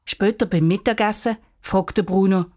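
A woman's voice: medium pitch, steady tone, normal speed, warm tone. A woman narrating a scripted children's story in Swiss German as the storyteller.

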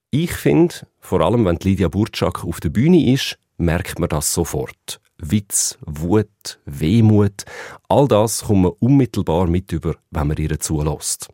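A man with a Swiss German accent delivers scripted radio narration in a measured, even way. His voice sits very low. The studio sound is close and dry. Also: dry recording. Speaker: radio presenter.

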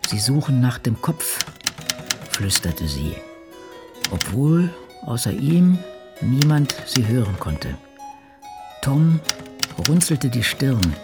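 A man playing an ageing, solitary woman crime novelist in a radio drama, musing in a deliberate, wry way. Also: medium pitch, big pitch swings, over music. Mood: brooding. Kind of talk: literary radio drama monologue, reading diaries and drafts.

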